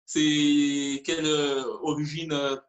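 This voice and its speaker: A man's voice: on the high side, flat delivery, takes it slowly. Hesitant male interviewer in a roomy space. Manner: hesitant, many fillers and restarts. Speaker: YouTube channel host, interviewer. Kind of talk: casual interview.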